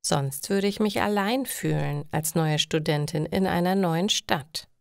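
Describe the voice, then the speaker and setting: A woman voicing a cheerful, contented young female student in a storybook, with slow, friendly phrasing. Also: fairly low pitch, vocal fry, clear, resonant tone, no echo, clean studio sound.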